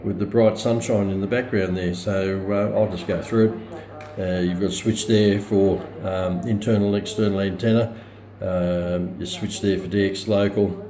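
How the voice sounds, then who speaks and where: A man giving a casual, unscripted product demonstration as a reviewer, hesitant and halting as he thinks aloud. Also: deep voice, fairly even intonation, warm tone. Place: outdoors or by a window in bright daylight, filming a radio.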